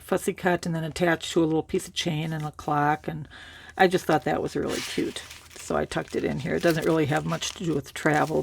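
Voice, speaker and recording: Woman, hoarse from a cold, gravelly low-key show-and-tell, chatty and meandering. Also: slight background noise. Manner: relaxed, rambling, with many fillers and restarts. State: has a cold, raspy voice. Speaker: crafter showing a handmade journal.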